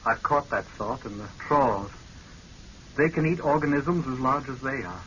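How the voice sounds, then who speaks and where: An actor playing an earnest, dutiful young scientist in a vintage radio drama, speaking formally and with deference. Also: male voice, mid-range voice, animated, normal speed, a bit bright, natural voice. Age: young adult. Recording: muffled, noisy vintage radio recording.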